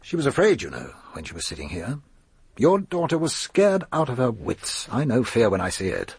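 An actor playing a shrewd, world-weary chief inspector in a radio drama, with a gravelly, husky voice and a probing, dryly insinuating manner. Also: male voice, mid-range voice, huge pitch swings, moderate pace, no echo, heavily acted.

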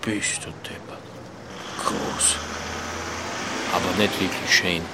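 An actor playing a down-to-earth, laconic Alpine nature lad in a radio play, speaking colloquial Austrian dialect. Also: male voice, sits low, flat delivery, takes it slowly, very noisy. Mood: laconic, teasing.

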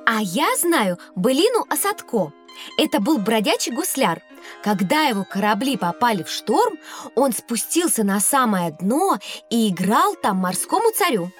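Lively, high-voiced curious girl. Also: a little slow, soft background music. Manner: inquisitive, playful, know-it-all. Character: bright, curious, teasing young girl. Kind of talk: scripted children's educational audio drama.

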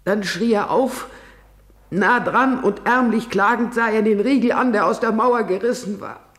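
An actor playing a grave messenger in a classical verse radio drama, reciting in a solemn, measured way. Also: male voice, high-pitched. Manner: solemn, measured recitation, shifting to hushed whispers. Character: grave messenger reporting deaths.

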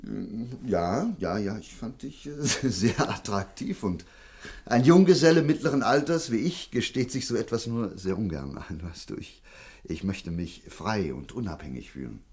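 An actor playing an earnest, protective middle-aged investigator in a German radio drama, hesitant and repeating himself. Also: male voice, on the low side, expressive, normal speed, a little mellow, breathy edge. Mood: tender, awkward. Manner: hesitant, stammering repetitions, earnest.

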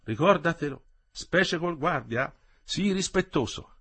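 A man's voice: lively intonation, unhurried, a bit bright, husky, full character voice. A man voicing an anxious, scolding old peasant grandmother in a read-aloud story. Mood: fretful. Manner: fretful, scolding, raised pitch.

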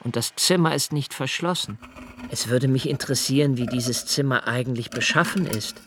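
An actor playing a brooding, solitary male lodger who muses precisely and haltingly in a literary radio play monologue. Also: mid-range voice, a bit bright, slight background noise, lightly acted. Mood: quiet, pensive. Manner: deliberate, precise, halting self-corrections. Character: brooding, obsessively observant solitary male lodger, musing alone.

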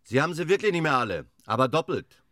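An actor in a radio drama playing a blunt, exasperated figure who answers back. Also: male voice, fairly high pitch, very animated, fast, noisy background.

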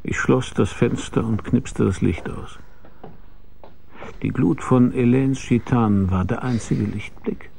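Gravelly, world-weary noir detective. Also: male voice, mid-range voice, slow pace, mellow, slight background noise. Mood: terse narration. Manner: dry, laconic, sardonic. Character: hard-boiled, world-weary male private detective, narrating. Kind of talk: noir radio drama narration and dialogue.

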